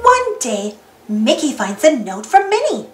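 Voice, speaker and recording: A woman reading a children's storybook aloud in a slow, singsong and very expressive way. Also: high-pitched. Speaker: storybook reader. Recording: roomy echo, faint background music.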